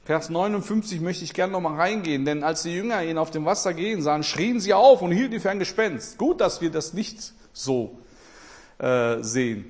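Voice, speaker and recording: A man from Portugal preaching a sermon with passion, repeating his phrases and swinging between loud and quiet. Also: fairly high pitch, animated, normal speed.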